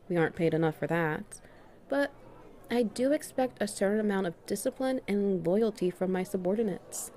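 A woman playing a confident, strict and subtly dominant female boss in a flirty audio roleplay, speaking in a measured, teasing way with deliberate pauses. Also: medium pitch, mellow, lightly acted. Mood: poised, explaining. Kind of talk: flirty one-sided roleplay dialogue.

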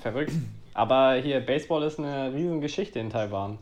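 A man's voice: medium pitch, moderate pace, a little mellow, muffled recording, faint music behind. A man chatting casually as co-host of a sports podcast. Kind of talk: casual chat on a badminton podcast.

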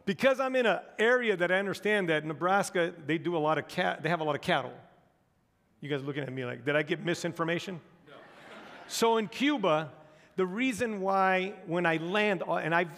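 A man's voice: a little high, a bit bright, in a large hall. A man from the United States speaking as a guest missionary in a church, telling humorous anecdotes to the congregation. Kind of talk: storytelling sermon talk. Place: large church auditorium.

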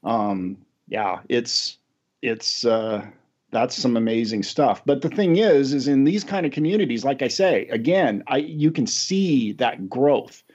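A man's voice: mid-range voice, measured pace, a little mellow, breathy edge. American man, middle-aged, podcast host, rambling casual chat, self-deprecating, muffled lo-fi sound. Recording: dull lo-fi audio.

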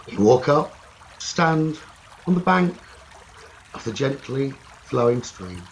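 A man leading a guided meditation slowly and soothingly, in short phrases with long pauses. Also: medium pitch, animated, poor audio. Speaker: meditation teacher. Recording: running stream water noise behind voice. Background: trickling stream water ambience.

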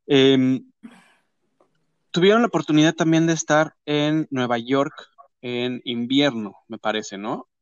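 A man with a Mexican accent hosting a casual chat and interviewing guests, slangy and talkative with quick asides. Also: mid-range voice, clear, resonant tone, dry recording, lo-fi sound. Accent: Mexican Spanish. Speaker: host.

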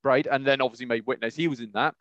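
A man's voice: medium pitch, fairly even intonation, fast talker, fairly bright tone, no echo, lo-fi sound. A man hosting a podcast, chatting casually and opinionatedly in a rambling, emphatic way, often repeating phrases. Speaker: podcast host. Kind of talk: casual opinionated chat about films.

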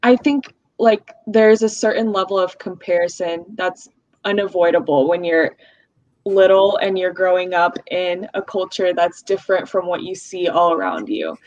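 A woman's voice: on the low side, moderate pace, a little mellow, dry recording. A young adult woman from the US, whose first language is Russian, talking reflectively in a casual podcast chat with lots of fillers. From United States. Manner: reflective, uses many fillers like "like" and "um". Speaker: guest. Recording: dull, dry, lo-fi sound.

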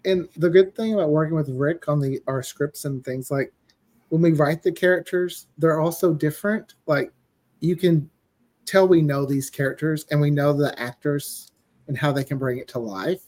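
A man with a somewhat high voice speaks as a guest in a relaxed interview. His delivery is conversational and enthusiastic, like a writer explaining creative work. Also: moderate pace. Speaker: guest, audio drama writer. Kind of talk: casual interview chat.

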